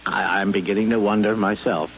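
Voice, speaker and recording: A man's voice: on the low side, steady tone, brisk, vocal fry. An actor playing a flustered, hapless ordinary man, stammering and hesitant, in 1950s radio drama style. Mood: dazed. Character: flustered, hapless ordinary male robot owner. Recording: muffled, noisy old radio recording.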